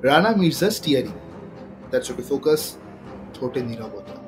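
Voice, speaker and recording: A male narrator reads a suspense story dramatically. His voice sits at a middle pitch, with music playing behind him. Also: animated, moderate pace. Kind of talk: scripted dramatic thriller narration.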